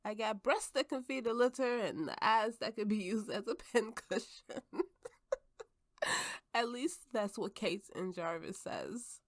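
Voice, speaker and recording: A woman's voice: fairly high pitch, unhurried, dry recording, clean studio sound. A woman voicing a drunken, playful and imperious warrior woman in an ASMR roleplay. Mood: bawdy, teasing. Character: tipsy, haughty, flirtatious warrior woman with a slave. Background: soft background music.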